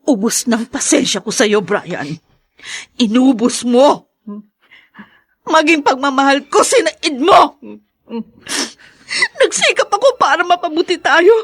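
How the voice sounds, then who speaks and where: A woman's voice: high voice, sing-song, slow, pauses often, no echo, studio quality, heavily acted. A Filipino woman playing a grieving, embittered young wife and mother in an emotional radio drama. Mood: bitter, then crying, furious. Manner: anguished, emotional delivery.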